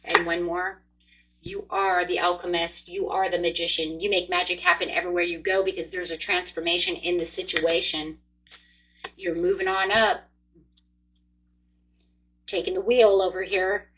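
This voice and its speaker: A woman's voice: on the low side, flat delivery, moderate pace, pauses often, a bit bright, a little hiss or hum, rough recording. A woman in her forties giving a chatty, upbeat tarot card reading, speaking straight to her viewers. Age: 40s. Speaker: tarot reader.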